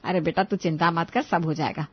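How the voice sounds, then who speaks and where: A woman's voice: on the low side, fairly even intonation, a little fast, husky, muffled recording, pitched below the performer's own voice. A woman voicing a bossy, doting middle-aged grandmother with a commanding, exclamatory manner in a family story. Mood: reassuring. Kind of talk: Hindi family moral story dramatization.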